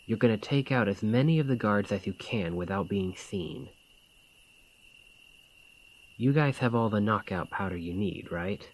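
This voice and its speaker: Slow, low-voiced bandit leader acted by a man. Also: poor audio. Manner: colloquial, deliberate, conspiratorial. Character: gruff but caring male bandit leader, scheming, informal. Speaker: voice actor in audio roleplay. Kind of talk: ASMR fantasy roleplay acting.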